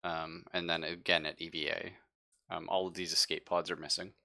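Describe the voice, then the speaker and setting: A man narrating a tabletop game casually as game master, often pausing to search for his words. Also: sits low, flat delivery, vocal fry, sharp tone.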